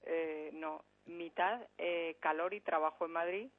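A woman's voice: lowish voice, fairly even intonation, a little slow. A woman answering a radio host's call and speaking hesitantly, with fillers. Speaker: interviewee by phone. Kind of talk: casual phone call. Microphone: telephone. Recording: lo-fi phone line.

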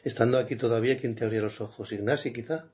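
A man hosting an interview podcast, asking questions in a lively, playful way. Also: mid-range voice, very fast, breathy edge. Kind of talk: conversational interview. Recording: slightly muffled podcast recording.

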